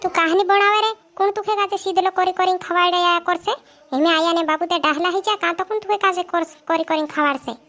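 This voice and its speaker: A woman's voice: very high-pitched, fairly even intonation, quick pace, pushed and bright. A woman playing a scolding village mother in a cartoon comedy, nagging and chiding her son.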